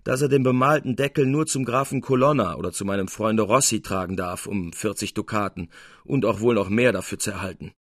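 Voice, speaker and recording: A man voicing a bold, mischievous male painter who flatters with exaggerated, honeyed courtesy in a storybook reading. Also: lowish voice, fairly quick. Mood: amused.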